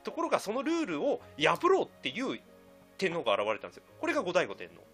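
A man explaining history casually as a panelist on a discussion show, lively and colloquial, voicing quotes as he goes. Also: high-pitched, fast, slightly rough, soft background music. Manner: animated explainer, acting out quotes in colloquial speech.

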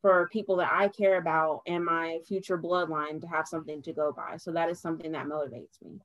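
A young adult woman, a podcast guest, talking earnestly in a casual chat and turning animated when she laughs. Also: low voice, a little fast, no echo, dull audio.

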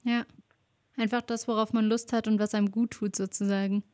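A woman's voice: mid-range voice, level tone, brisk, darkish tone. A woman interviewing a musician in casual German, friendly and laughing often.

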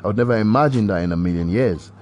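Rambling low-voiced man podcasting. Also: fast talker, faint music behind. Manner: rambling and hesitant, full of uhs and restarts; swings between animated outbursts and low mutters. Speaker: podcast host. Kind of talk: casual solo podcast monologue.

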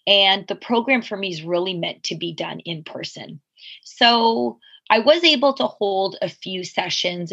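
A woman's voice: lowish voice, creaky, a bit bright, breathy edge, dull audio. A woman in her forties, a podcast guest, telling her life story candidly in a reflective, rambling way full of asides. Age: 40s.

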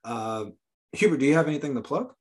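A man's voice: medium pitch, expressive, quick pace. A man hosting a casual sports podcast, chatting loosely with frequent laughs and fillers. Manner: laughs often, loose filler-heavy talk. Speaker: podcast host.

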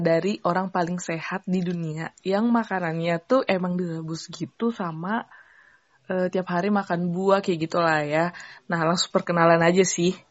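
A young woman from Sumatra hosting a casual podcast chat, playful and quick to joke and laugh. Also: on the low side, no room sound, muffled recording. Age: young adult. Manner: playful, joking, laughs often. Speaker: podcast host.

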